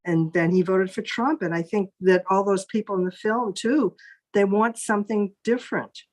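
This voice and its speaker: A woman's voice: mid-range voice, fairly even intonation, normal speed. A woman therapist sharing her thoughts in a panel discussion, thoughtful and hesitant, often restarting.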